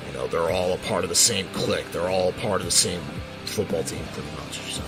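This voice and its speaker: A man playing a defensive, hurt teenage son in an audio drama, speaking haltingly and earnestly, protective of his family. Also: low voice, lightly acted. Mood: hurt, confessing. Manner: halting, hesitant, earnest confession. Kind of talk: amateur audio drama roleplay. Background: music.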